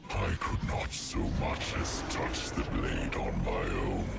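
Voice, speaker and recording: An actor playing a menacing, gloating male demon lord in a video game, with a growling, gravelly voice and grandiose delivery. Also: rumbling low, flat delivery, exaggerated voice. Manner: slow, grandiose, menacing pronouncements. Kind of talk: video game villain acting. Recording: loud music underneath.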